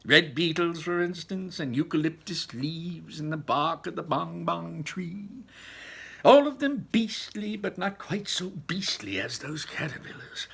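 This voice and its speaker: An eccentric, proud chocolate factory owner from a children's storybook, acted out by a man reading aloud. The voice is pushed high and swoops animatedly. It is theatrical and emphatic, with a rough, husky edge. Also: animated, measured pace, fairly bright tone, heavily acted. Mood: dramatic, horrified. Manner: exclaiming, dramatic, emphatic storytelling.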